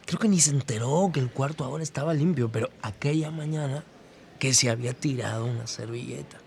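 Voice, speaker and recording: A man's voice: mid-range voice, a little fast, creaky, a little mellow, close to an everyday voice. A man playing a bemused cleaning worker, giving hesitant, matter-of-fact testimony in an audio documentary drama. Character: bemused cleaning worker recalling a strange morning. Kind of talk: casual acted documentary testimony.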